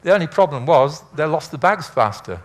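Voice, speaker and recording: A man's voice: on the high side, very animated, fairly quick. An older man giving an informal illustrated lecture in a chatty, rambling, anecdotal way with dry humour. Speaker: speaker, former air traffic controller.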